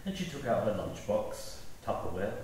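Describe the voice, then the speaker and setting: A man speaks informally to a gathering, telling anecdotes with gentle humor. His low voice reverberates strongly around a stone church, picked up from far off with clear background noise. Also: very animated, moderate pace. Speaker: memorial service speaker. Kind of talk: anecdotal eulogy. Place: large stone church, indoors. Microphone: far camera. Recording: echoey church, distant mic, noisy.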